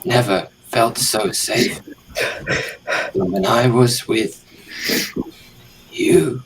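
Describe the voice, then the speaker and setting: Man acting a very slow, halting dead adventurer. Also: mid-range voice, fairly even intonation, slight background noise, rough recording. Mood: tender, mournful. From Britain. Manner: halting, short clipped fragments. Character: dead male adventurer, solemn, tender, speaking haltingly. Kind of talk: improvised tabletop roleplay drama.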